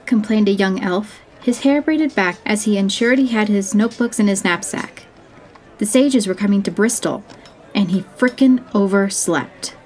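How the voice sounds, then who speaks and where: A woman's voice: mid-range voice, moderate pace, darkish tone. A woman reading scripted narration for a fantasy audio story.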